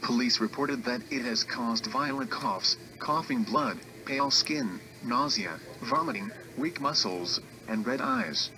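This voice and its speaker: A man playing an emergency alert announcer, giving a scripted warning in a slow, formal, even official delivery. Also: medium pitch, vocal fry, heavily acted, poor audio. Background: music playing under the voice.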